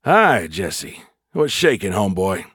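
A man's voice: sits low, big pitch swings, unhurried, a bit bright, husky, no room sound, studio quality, full character voice. A man voicing a chatty, frank artificial intelligence with slangy, breezy talk in an audiobook. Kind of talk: audiobook character voice.

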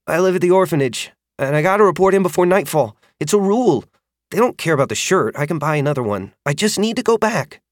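A man's voice: very high-pitched, animated, no room sound, clean studio sound, voice pushed up. Arthur, a man doing a scared orphan boy. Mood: pleading. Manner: pleading, hoarse and rushed. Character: wary young orphan boy, scheming but scared.

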